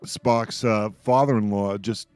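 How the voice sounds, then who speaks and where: A man's voice: fairly low pitch, steady tone, unhurried, a little mellow, clear, resonant tone, no room sound, faint music behind. A man hosting a radio roundtable in casual chat, talking emphatically and often repeating his phrases. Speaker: radio host moderating a roundtable.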